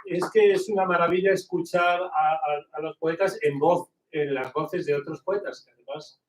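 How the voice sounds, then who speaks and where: A man's voice: a little high, steady tone, normal speed. A man presenting speakers at a tribute event, appreciative and slightly hesitant. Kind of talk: introducing speakers. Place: lecture hall. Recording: echoey hall with loud noise.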